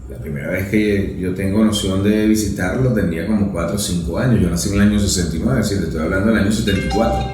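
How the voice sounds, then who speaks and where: A man's voice: deep voice, fast, hardly any pauses, heavy reverb. A man in his fifties with a Venezuelan accent, a radio guest recounting at length with hesitations and fillers. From Venezuela, Caracas and Zulia. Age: 50s. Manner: hesitant, rambling, many fillers. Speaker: guest, lawmaker. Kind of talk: conversational interview. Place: radio studio.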